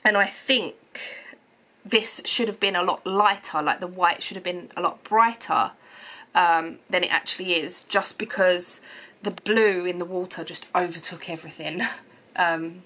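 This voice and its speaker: A bright-voiced woman in her thirties chats casually to camera from her living room. She sounds a little hoarse and phlegmy from a cold and rambles with plenty of ums and restarts. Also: on the low side, steady tone, a little slow. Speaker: knitting vlogger.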